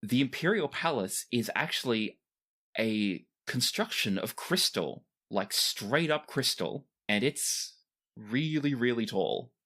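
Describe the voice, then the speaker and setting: A man's voice: medium pitch, very animated, slow, pushed and bright. A man runs a tabletop game in a conversational way. His mid-range voice moves up and down a lot as he explains the world and wanders into chatty asides. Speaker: game master of a tabletop role-playing podcast.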